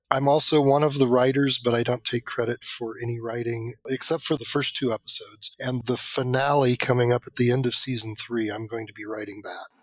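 A man speaking as an interview guest, telling anecdotes in a conversational, animated storytelling manner. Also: mid-range voice, dry recording. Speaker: podcast guest, audio drama producer and writer.

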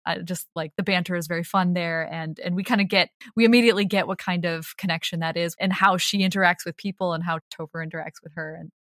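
A woman with a fairly low voice talks casually as an interview guest. She is candid and a little self-deprecating, with informal phrasing. The recording is close and dry. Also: steady tone, fast talker, few pauses, a bit bright, dry recording. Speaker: audio drama creator being interviewed.

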